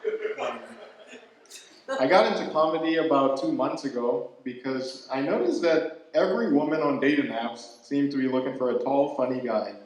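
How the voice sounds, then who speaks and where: A young man from Ghana, doing a stand-up set with a dry, halting delivery full of fillers and restarts, laughter behind him. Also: mid-range voice, lively intonation. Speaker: stand-up comedian. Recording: very echoey room, faint noise. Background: audience laughter.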